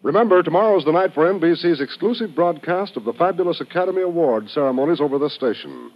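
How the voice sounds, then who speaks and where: A man's voice: on the high side, expressive, fast. A man with an American accent announcing in the showy, scripted style of 1950s network radio. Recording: muffled old radio broadcast recording. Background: music.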